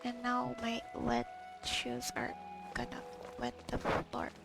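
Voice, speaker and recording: A woman's voice: on the high side, very animated, slow pace, vocal fry. A woman casually commenting on a game and reading bits aloud, rambling to herself. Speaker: gamer recording a playthrough. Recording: game music behind the voice. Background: video game music.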